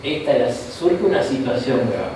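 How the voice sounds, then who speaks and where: A man with an Argentine accent teaching a Bible class in a relaxed lecture style, often checking in with the room through "¿no?" and short asides. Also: medium pitch, moderate pace, noisy background. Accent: Argentine Spanish. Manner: conversational, frequent "¿no?" and "¿tá?" tags, asides. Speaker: bishop teaching a Bible course. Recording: echoey room, mic far off.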